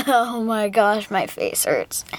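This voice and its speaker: A woman's voice: a little high, very animated, very slow, no echo. A woman guest about to record lines, giggling nervously between words. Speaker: guest voice actor.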